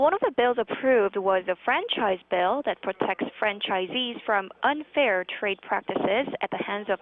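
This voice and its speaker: A woman political correspondent delivering a live news report over the telephone. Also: medium pitch. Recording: tinny narrowband phone line.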